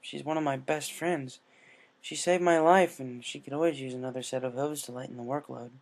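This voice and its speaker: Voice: mid-range voice, fairly even intonation, a little fast, natural voice. A narrator voicing an earnest, subdued young female pegasus pony who talks casually and hesitantly, in a story reading.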